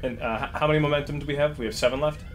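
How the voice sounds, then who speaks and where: A man's voice: fairly high pitch, fairly even intonation, racing. A man chatting eagerly as a player in a casual tabletop role-playing game, sometimes stumbling into his sentences. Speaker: player as starship captain. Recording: faint room noise.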